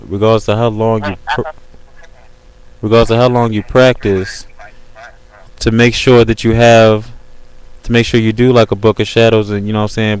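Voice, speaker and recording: A man's voice: on the low side, a little mellow, smooth, rough recording. A man chatting casually on a webcam call in a slangy way, often repeating filler phrases as he makes his point. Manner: slangy, repeats "you know what I'm saying". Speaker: host of an online video call. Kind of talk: casual chat.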